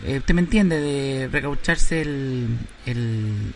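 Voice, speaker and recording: A man's voice: mid-range voice, expressive, takes it slowly, a little hiss or hum. Man putting on a pushy woman caller. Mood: pestering. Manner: pushy, keeps pressing with questions. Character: chatty middle-aged woman caller, pushy and nosy. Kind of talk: radio prank call.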